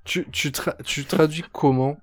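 A man's voice: on the high side, fairly even intonation, a little slow. A man in a casual podcast quiz, laughing at himself and joking with friends. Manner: laughing, self-deprecating. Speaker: podcast guest, player. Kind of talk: casual chat.

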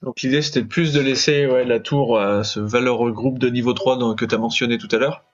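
Male game player, muffled lo-fi sound, mid pitch, casual table talk. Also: fairly even intonation, fairly quick. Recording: dull lo-fi voice channel, music behind.

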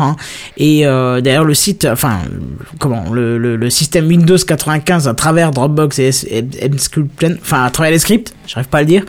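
A man hosting a casual live tech news show, chatty, often stumbling over words and repeating himself. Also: on the high side. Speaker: podcast host.

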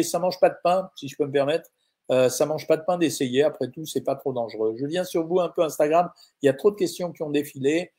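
Brief: male nutritionist, middle-aged, fairly high-pitched, informal and blunt, live Q&A host. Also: a little mellow. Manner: informal, blunt, uses tu with viewers, frequent euh. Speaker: nutritionist answering viewer questions. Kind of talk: casual live Q&A, reading out comments.

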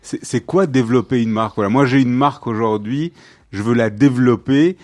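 A man's voice: medium pitch, fairly quick, darkish tone. A man hosting an interview, asking probing questions and rephrasing them as he goes, often stumbling at the start of a sentence.

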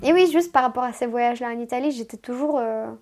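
A woman's voice: fairly high pitch, quick pace, darkish tone, faint music behind. A woman in her twenties from Moldova, a native Romanian speaker, telling her life story casually as a podcast guest, chatty and self-mocking, restarting sentences often. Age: 20s.

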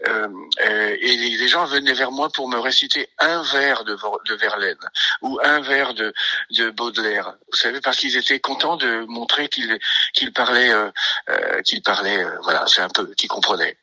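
Hesitant older man, bright dry voice. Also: mid-range voice, no echo, low-quality recording. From Italy. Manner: hesitant, halting, many fillers and restarts. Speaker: pianist. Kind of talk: radio interview, reminiscing.

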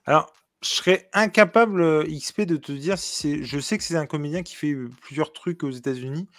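A man casually chatting about a TV episode as podcast co-host, animated and joking with many fillers. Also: on the high side, a little fast, no echo, studio quality. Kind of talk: casual chat reviewing a TV episode.

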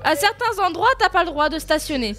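A woman's voice: very high-pitched, animated, a little fast, fairly bright tone. A woman co-hosting a joking evening radio show, teasing her co-hosts and telling a story between laughs. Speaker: radio co-host. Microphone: studio microphone.

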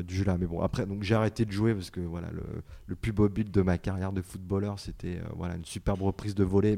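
A low-pitched man with a dark, mellow voice answers audience questions about his book. He talks in halting stretches, full of fillers and restarts, as he gathers his thoughts. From France. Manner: hesitant, many fillers and restarts. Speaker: author and journalist.

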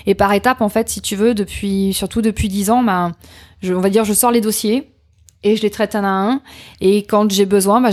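A middle-aged woman, telling personal stories in an informal, expressive, candid way as an interview guest. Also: medium pitch. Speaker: podcast guest, coach. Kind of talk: personal storytelling in a conversational interview.

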